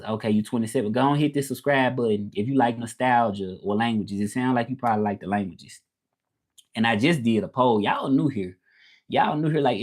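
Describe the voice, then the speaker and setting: A man's voice: medium pitch, darkish tone. Southern US man, 30s, easygoing live-chat host who loops back on his points. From Southern United States. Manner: rambling, repeats himself, reads out and answers chat. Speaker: livestream host. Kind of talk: casual livestream chat with viewers.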